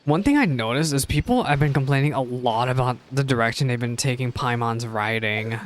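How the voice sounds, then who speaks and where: A man streams his reactions to game cutscenes in a casual voice of medium pitch. He mutters asides and answers the characters back, with faint noise and clicks on the recording. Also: fairly quick. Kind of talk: casual live reaction commentary.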